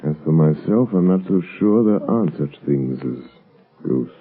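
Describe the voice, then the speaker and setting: An actor playing a suave, probing gentleman sleuth in an old radio drama, speaking in a measured, pointed way. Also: a bass voice, animated, slightly rough, heavily acted. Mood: calm, curious. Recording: muffled, phone-like vintage radio recording.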